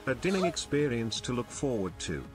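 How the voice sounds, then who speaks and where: A man's voice: medium pitch, big pitch swings, fairly quick, over loud music. A man's synthetic text-to-speech voice reading out written viewer messages. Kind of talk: read-aloud viewer message.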